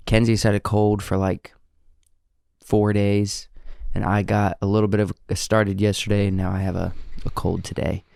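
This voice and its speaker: Low-voiced Southern man with a cold. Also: level tone, moderate pace, creaky, a little mellow, clear, resonant tone, dry recording, studio quality. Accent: American Southern. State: stuffy from a cold. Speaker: podcast co-host. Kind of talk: casual chat.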